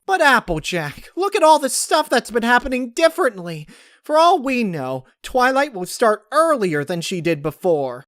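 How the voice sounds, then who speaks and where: A man voicing an agitated, impatient young female pony in a strained, emphatic falsetto for a story reading. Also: big pitch swings, moderate pace, a bit bright, no echo, studio quality, exaggerated voice. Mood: pleading, alarmed.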